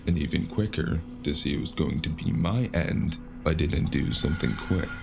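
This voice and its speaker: A man with a gravelly voice narrating a scripted first-person story in a gritty, dramatic way. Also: a bass voice, normal speed. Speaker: narrator of a cyberpunk audio drama. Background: loud music.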